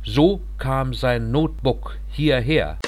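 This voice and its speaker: A man narrating a crime novel as an audiobook, reading in a calm, measured way. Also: mid-range voice, soft background music.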